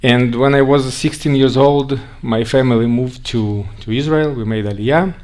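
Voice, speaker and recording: A man with a Russian accent, raised in Siberia, giving a conference talk in a halting way with frequent restarts. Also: mid-range voice, steady tone, darkish tone. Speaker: guest speaker.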